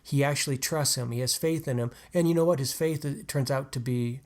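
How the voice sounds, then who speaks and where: Guy on a book podcast, earnest, picks his words as he goes, mid pitch. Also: a little fast. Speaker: podcast co-host. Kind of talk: casual book discussion.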